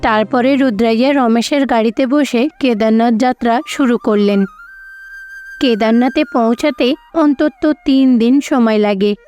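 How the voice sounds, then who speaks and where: High-voiced woman narrating a storybook cartoon. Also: unhurried, darkish tone, dull audio. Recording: faint music behind.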